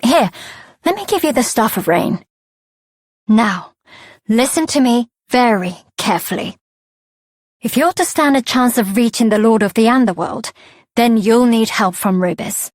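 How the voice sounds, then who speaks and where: An actress voicing a helpful, candid fairy guide in a video game, chatty and conversational. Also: female voice, a little high, lively intonation, measured pace, long pauses, dry recording, studio quality. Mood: earnest, instructive. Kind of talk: scripted video game voice acting.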